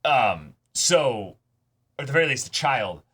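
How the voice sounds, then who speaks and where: A man co-hosting an anime reaction show in casual banter, excitable, swearing freely and repeating words for emphasis. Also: medium pitch, unhurried, husky. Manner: casual profanity.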